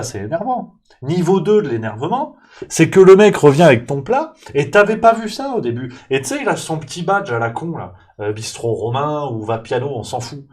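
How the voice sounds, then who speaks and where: A man hosting a show, delivering a comic rant in an animated, exasperated tone, swinging between loud outbursts and muttered asides. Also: a little high. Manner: animated, exasperated, mock-angry ranting; parodies waiters with exaggerated Italian accent.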